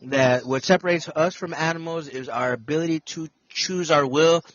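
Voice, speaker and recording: High-voiced man in his thirties, muffled audio. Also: moderate pace, fairly bright tone, no room sound. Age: 30s. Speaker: podcast host. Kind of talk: casual group talk.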